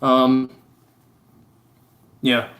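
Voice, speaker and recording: A man from Austria whose first language is German, speaking English with an Austrian German accent. He hosts a casual livestream and explains things step by step in a halting, low-key way. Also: monotone, fairly bright tone. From Austria (Vorarlberg). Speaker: livestream host. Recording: roomy echo.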